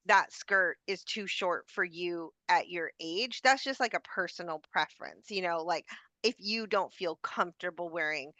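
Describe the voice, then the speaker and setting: Bright-voiced woman in her fifties. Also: on the low side, normal speed, no echo. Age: 50s. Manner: chatty, acts out clients' complaints in quotes. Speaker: personal stylist. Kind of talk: casual interview chat.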